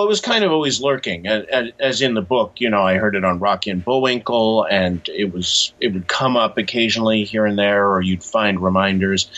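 A man answering as an interview guest in a casual, reflective and measured explanatory way. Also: lowish voice, fairly bright tone, muffled recording. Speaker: interview guest, graphic novelist.

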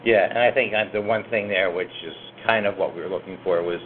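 A man hosting a student newsroom podcast round-table, measured and full of "you know" fillers. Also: deep voice, steady tone, narrow, tinny audio, background noise.

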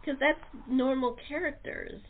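A woman's voice: high voice, steady tone, measured pace. A woman hosting a casual panel chat, talking informally and in a rambling way as she prompts others. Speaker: host and radio-play producer. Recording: phone-like narrow-band sound.

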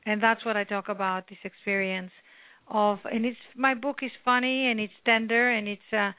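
A woman from Greece with a Greek accent, an author talking earnestly at length in a phone interview, with many pauses. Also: fairly high pitch, fairly even intonation, dry recording. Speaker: author guest. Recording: tinny phone line.

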